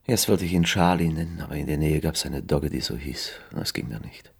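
An actor playing a downtrodden, insecure, lovelorn private detective in a naturalistic radio crime drama, speaking hesitantly. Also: male voice, a bass voice, lively intonation, creaky, breathy edge, heavily acted. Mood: dejected, reflective. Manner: hesitant, halting.

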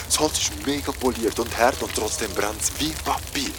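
A man's voice: medium pitch, normal speed, background noise, lightly acted. An actor playing an exuberant young man in a radio play, talking in eager, boastful slang. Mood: excited.

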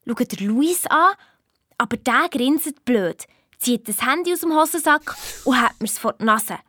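High-voiced, spirited Swiss girl detective. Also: measured pace, full character voice. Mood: eager storytelling. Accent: Swiss German. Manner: colloquial, animated storytelling with cheeky retorts. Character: spirited, bickering young girl detective telling her adventure. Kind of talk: children's audio drama narration.

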